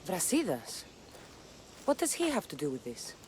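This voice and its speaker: A woman's voice: lowish voice, huge pitch swings, normal speed, slight background noise. A woman playing a proud, hot-tempered warrior in a dramatic game cutscene, blunt and menacing. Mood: proud, curt. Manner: blunt, menacing, foul-mouthed. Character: brash, hot-tempered female Greek warrior, proud mercenary. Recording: loud music under the voice.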